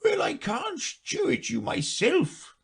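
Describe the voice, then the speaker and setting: A man voicing the Mock Turtle, a sobbing, self-pitying storybook creature who wails his words slowly. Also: very animated, vocal fry, full character voice. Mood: mournful. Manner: slow tearful wail, high and quavering.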